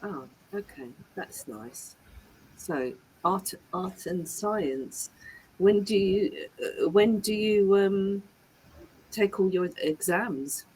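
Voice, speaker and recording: Patient female tutor, slow, slightly husky delivery. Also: medium pitch, mellow, low-quality recording. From England. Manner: patient, repeats and rephrases questions, many restarts. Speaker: English tutor. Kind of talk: online one-to-one English lesson.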